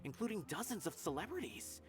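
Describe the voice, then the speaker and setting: A man playing an earnest, loyal officer in a video game, troubled and quick to defend his own. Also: high-pitched, very animated. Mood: serious, concerned. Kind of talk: video game character dialogue. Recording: game music behind the voice.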